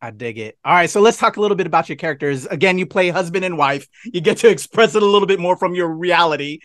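A man, hosting a casual interview in an expressive way. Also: high-pitched, quick pace, no room sound, clean studio sound. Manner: expressive, gesturing. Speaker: interviewer, host. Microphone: video call.

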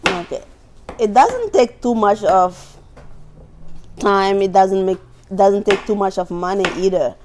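A woman's voice: mid-range voice, lively intonation, unhurried, long pauses, background noise. A woman from Burkina Faso with a West African accent, giving a cooking demonstration as the instructor in a kitchen. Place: large indoor teaching kitchen.

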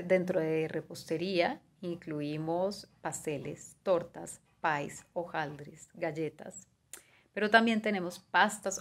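Low, gravelly-voiced woman giving a talk. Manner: measured, listing items, with fillers like "eh" and "digamos". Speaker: guest speaker on pastry and cuisine. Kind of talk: prepared talk, partly read. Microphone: video call webcam.

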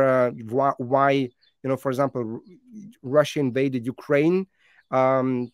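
A man in his thirties, a native Lithuanian speaker, gives a conference talk over slides, halting with many fillers and restarts. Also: mid-range voice, dry recording, studio quality.

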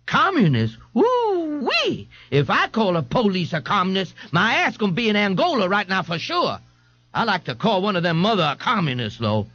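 A sardonic young streetwise man in a storybook reading. His talk is high and excitable, drawling and leaping in pitch, and recorded very dry. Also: moderate pace, no room sound, highs cut off, heavily acted. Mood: amused, incredulous.

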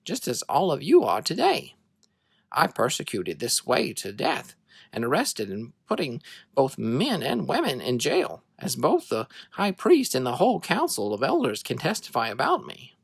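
A narrator reading scripture to children as a dramatic story, in an expressive, measured way. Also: medium pitch, gravelly, breathy edge, dry recording.

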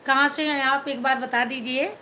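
A woman's voice: high-pitched, steady tone, moderate pace, sharp tone, a little hiss or hum. A middle-aged woman from Madhya Pradesh hosts a casual live stream from a small indoor room. She chats on her phone, answers viewers' comments and thanks them again and again, mixing English words into her talk. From Madhya Pradesh, India. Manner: chatty, thanks viewers over and over, mixes English into Hindi. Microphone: phone held at arm's length.

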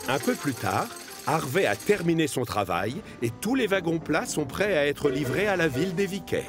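A man narrating a children's cartoon as a friendly, expressive storyteller. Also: fairly high pitch, normal speed, music in the background. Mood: storytelling.

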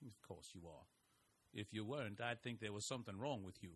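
A man's voice: fairly low pitch, fairly quick, a bit bright, slightly rough. An actor playing a folksy, wry older male investigator who narrates his story. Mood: earnest. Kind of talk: audio drama narration and acting.

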